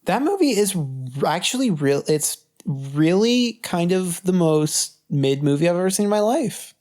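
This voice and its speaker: A man's voice: high voice, expressive, normal speed, darkish tone. A young man co-hosting a podcast, chatting informally about movies, hesitant and peppered with swearing. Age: young adult. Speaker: podcast co-host. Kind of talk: casual movie chat.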